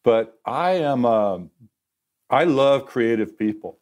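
A man's voice: medium pitch, expressive, slow pace, darkish tone. A man in his sixties, a TV director and guest, telling stories in a rambling, candid, self-correcting way. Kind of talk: candid conversational storytelling.

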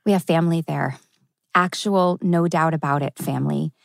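A woman's voice: sits low, normal speed, vocal fry, dry recording, studio quality. A woman playing an anxious, wry teenage girl, speaking hesitantly in a TV teen drama table read. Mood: anxious, unsure. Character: anxious, wry teenage girl torn over her family.

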